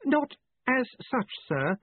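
A man acts out a meek academic wizard from a fantasy storybook. He speaks high, with hesitant, fussy politeness and enduring patience. The sound is narrow and phone-like. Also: fairly quick, no room sound. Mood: strained patience.

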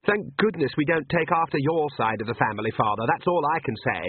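A man's voice: on the high side, animated, fairly quick, husky, no room sound, pitched above the performer's own voice. A man voicing a haughty, sardonic young female vampire. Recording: tinny phone-like dry sound.

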